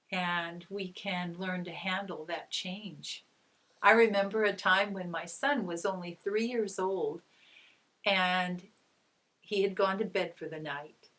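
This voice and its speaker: Female children's storyteller, middle-aged, mid-range pitch, unhurried pace, simple wording with gentle prompting questions. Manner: simple phrasing, coaxing questions to young listeners. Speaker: storyteller and teacher reading aloud to children. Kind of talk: children's read-aloud with gentle guided discussion.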